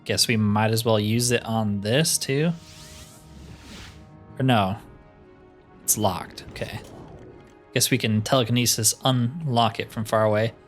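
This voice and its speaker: A man's voice: fairly low pitch, steady tone, unhurried, long pauses. A man hosting a game playthrough with casual, informal commentary, thinking aloud as he plays. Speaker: host of a game roleplay series. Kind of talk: casual let's play commentary. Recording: music behind the voice.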